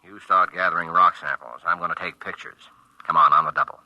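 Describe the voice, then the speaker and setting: A curt astronaut photographer. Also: male voice, low voice, fairly even intonation, fairly quick, dull audio. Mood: brusque. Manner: curt, impatient, bossy. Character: brusque male astronaut photographer. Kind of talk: 1950s radio drama acting.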